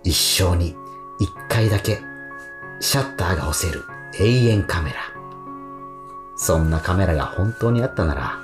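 A wistful young romantic in a radio play, really low voice, soft guitar under it. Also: male voice, animated, measured pace, pauses often. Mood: tender. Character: dreamy, gently awkward young man recalling his romance. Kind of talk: radio drama monologue and dialogue. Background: plucked guitar music.

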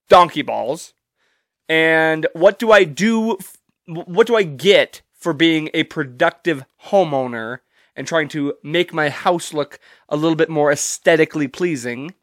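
A man's voice: fairly high pitch, measured pace, fairly bright tone, dry recording, clean studio sound. A young man hosting a solo podcast, stuffy and congested from allergies, talking casually and rambling with loose, profane humor. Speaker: podcast host.

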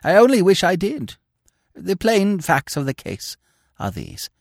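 A man's voice: high voice, huge pitch swings, measured pace, gravelly, dry recording, clean studio sound, voice pushed up, exaggerated voice. A man putting on a fussy prim spinster governess. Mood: flustered. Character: flustered prim spinster governess, middle-aged woman.

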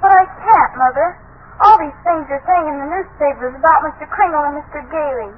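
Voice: high voice, a little fast, close to an everyday voice. A performer playing a trusting, sincere little girl in an old radio drama, childlike and earnest. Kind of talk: 1940s radio acting. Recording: thin, phone-like old radio recording with hiss.

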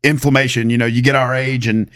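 A man in his late thirties, a podcast guest talking casually and haltingly, with lots of restarts and fillers. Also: medium pitch, little pitch movement, fairly bright tone. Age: 30s.

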